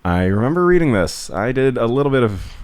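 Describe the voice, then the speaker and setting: A man's voice: medium pitch, big pitch swings, fairly quick, a little mellow, no echo. A man co-hosting a podcast, chatting casually about books in a playful, jokey way with folksy asides. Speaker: podcast co-host. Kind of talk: casual book chat.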